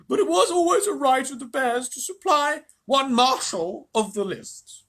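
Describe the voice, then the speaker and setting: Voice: high voice, lively intonation, fairly bright tone, voice pushed up, heavily acted. A reader voicing an old male bear, sleepy and bumbling, with a thick voice and a stammering, humble manner. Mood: humbly insistent. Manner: stammering, slow, deferential.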